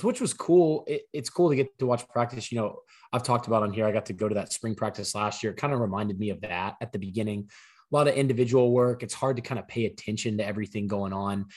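A man co-hosting a sports podcast, his voice slightly hoarse from losing it, explaining things in an easygoing, conversational way. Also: medium pitch, a little mellow. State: partly lost voice, slightly hoarse. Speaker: podcast co-host. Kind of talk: casual sports podcast chat.

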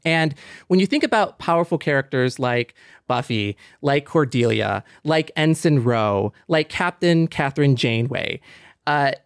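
A man's voice: medium pitch, lively intonation, unhurried, clean tone, no room sound, clean studio sound. A man hosting a podcast in a casual, reflective discussion, thinking aloud in an earnest way. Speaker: podcast host.

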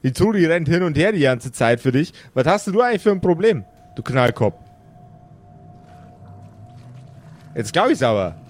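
A man's voice: on the high side, big pitch swings, a little fast. Man playing a grumpy, foul-mouthed necromancer lord. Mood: irate, threatening. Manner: colloquial, blustering, rambling. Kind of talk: improvised pen and paper audio play.

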